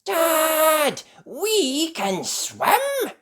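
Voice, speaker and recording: Excitable little girl for a children's storybook, played by an adult reader: high, loud, stretched-out shouts, eager. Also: heavily acted. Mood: excited, proud. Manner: shouting, drawn-out exclamations.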